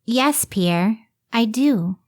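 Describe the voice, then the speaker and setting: A woman's voice: a little high, expressive, very slow, a little mellow, full character voice. A woman playing a thoughtful, dutiful young woman scientist in an old-time radio drama style. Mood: gently resolute.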